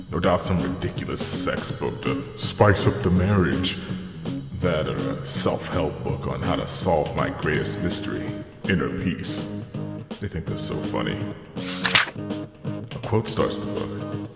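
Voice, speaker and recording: A man's voice: low voice, vocal fry, heavily acted. A man acting a weary, wry police detective in a noir audio drama, speaking with dry understatement. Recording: roomy echoing reverb with music underneath.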